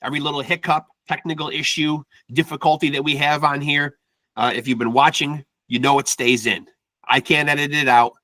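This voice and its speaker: A man hosting a podcast interview, talking in an energetic, casual way and calling his guest "man" again and again. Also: a little high, a little fast, dry recording. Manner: energetic, peppers speech with "man". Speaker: podcast host. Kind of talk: casual chat.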